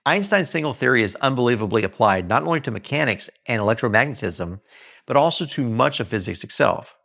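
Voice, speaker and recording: A man's voice: mid-range voice, animated, brisk, gravelly, fairly bright tone. Male author-narrator, mid-range voice, very varied intonation, earnest preacher-like emphasis for a self-read audiobook. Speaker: author reading own book aloud. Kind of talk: expressive audiobook-style reading.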